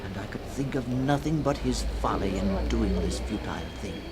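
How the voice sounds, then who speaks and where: An actor playing a brooding, scornful man who tells an uncanny tale in a theatrical, deliberate 1960s radio reading. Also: lowish voice, animated, close to an everyday voice. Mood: scornful musing. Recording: noisy 1960s radio recording.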